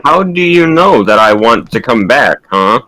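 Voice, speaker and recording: Cool, tough comedy cop acted by a man, slow delivery, hard bright edge. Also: medium pitch, very animated, rough recording. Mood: defiant. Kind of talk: comedic amateur dramatic script reading.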